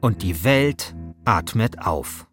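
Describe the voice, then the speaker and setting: A man's voice: on the low side, expressive, slow, fairly bright tone. A man narrating a scripted first-person story as podcast host, in speech with a wry, dramatizing manner. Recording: music behind the voice. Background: music.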